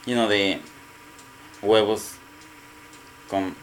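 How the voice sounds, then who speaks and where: A man with a Mexican Spanish accent, Spanish his first language, answering interview questions in halting English and often repeating words. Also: on the low side, steady tone, slight background noise. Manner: halting, repeats words, non-native grammar. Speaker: restaurant cook. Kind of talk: casual interview.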